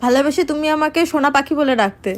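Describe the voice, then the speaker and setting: A woman's voice: high-pitched, fast talker. A young woman making a teasing prank phone call, coy, pouty and insistent. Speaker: prank caller. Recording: clear background noise.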